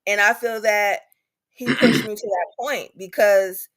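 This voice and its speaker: A woman's voice: mid-range voice, measured pace, a bit bright, low-quality recording. A woman in her thirties speaks in a candid panel discussion. Her delivery is emphatic, and she often repeats a phrase to drive a point home. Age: 30s. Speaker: patient advocate on a panel.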